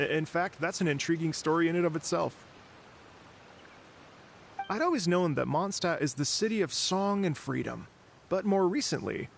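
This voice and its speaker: A man's voice: on the high side, gravelly, a little hiss or hum. An actor playing an earnest, wistful middle-aged traveler in a video game, telling his story theatrically and politely. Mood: hopeful, enthusiastic.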